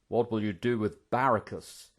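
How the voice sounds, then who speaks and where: A reader voicing a tall, laconic warrior with a dry, calm delivery. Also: medium pitch, normal speed, close to an everyday voice. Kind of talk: audiobook character voice.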